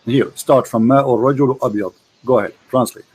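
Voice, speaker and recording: A man's voice: medium pitch, steady tone, very fast, a little mellow, husky. A man hosting a confrontational debate, pressing his guest with repeated questions in non-native English, often stumbling over repeated words. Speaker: debate host.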